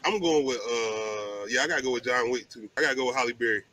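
A man's voice: mid-range voice, quick pace, sharp tone. A middle-aged man, hosting a casual livestream chat in a playful, slangy way. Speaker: host of a movie talk livestream. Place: indoors, home livestream. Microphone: video call.

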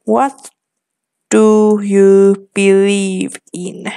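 Slow-speaking young woman. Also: medium pitch, level tone, dark tone. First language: Finnish. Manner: slow, deliberate, reading typed replies aloud. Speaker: vlogger. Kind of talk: casual commentary reading chat aloud.

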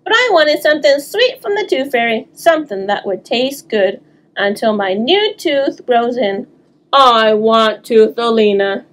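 A woman voicing an earnest, dramatic little girl from a storybook, expressive and pleading, with drawn-out words. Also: on the high side, poor audio. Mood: dismayed.

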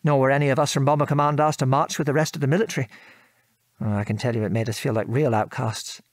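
A man's voice: mid-range voice, fairly even intonation, no echo, clean studio sound, close to an everyday voice. An actor with a British accent playing a former RAF airman and father, frankly recounting his war service in an articulate, old-fashioned way for an audio drama. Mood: bitter, indignant. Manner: articulate, measured storytelling with old-fashioned British turns of phrase. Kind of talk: conversational audio drama reminiscence.

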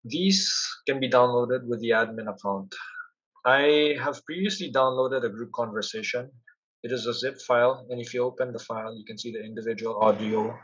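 A man with a slightly husky, mid-pitched voice walks through a software demo step by step. His narration is instructional and explanatory, and the recording is a little dull. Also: moderate pace. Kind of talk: instructional screen-share narration.

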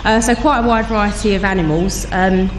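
A woman being interviewed on a farm for radio, explaining the animals in a quick, chatty way with light laughs. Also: medium pitch, rough recording. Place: outdoors on a farm. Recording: outdoor field recording with background noise.

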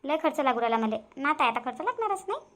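A woman's voice: sits high, very animated, rapid-fire, fairly bright tone. A woman voicing a proud, grumbling grandmother in a cartoon family drama, speaking with a Vidarbha Marathi accent. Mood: boastful. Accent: Vidarbha Marathi (Varhadi). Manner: nagging, complaining, muttering asides. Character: proud, grumbling, boastful grandmother and mother-in-law.

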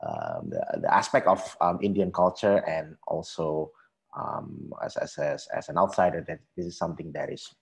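A man in his thirties with an Indonesian accent, answering as an academic panelist on a headset, politely and haltingly, often repeating himself. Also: low-pitched, very animated, vocal fry. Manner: hesitant, halting, repetitive, polite. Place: small room at home, video call.

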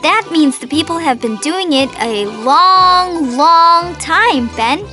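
A curious young girl from a children's cartoon. The voice is very high and swings widely in pitch. She speaks at a slow, cheerful pace. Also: lively intonation, pushed and bright, soft background music, full character voice.

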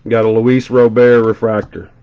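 Brief: congested male host, lowish voice, quick chatty card-break patter, dull lo-fi audio. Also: level tone. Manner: rattles off player names rapidly, chatty asides. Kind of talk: casual live-stream commentary while opening packs. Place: indoor room at a table.

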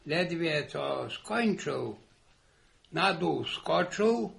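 Old folksy villager grandfather for radio serial; husky, unhurried, rambling storyteller. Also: on the high side, animated, a bit bright, exaggerated voice. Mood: amused. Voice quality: husky, gravelly. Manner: halting, repetitive rustic storytelling. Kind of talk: 1960s Polish radio serial acting. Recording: old 1960s radio recording.

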